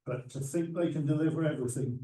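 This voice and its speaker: Older man with a very dark voice. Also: fairly high pitch, fast. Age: 60s. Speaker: panelist. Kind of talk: panel discussion. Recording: roomy hall, mic at a distance.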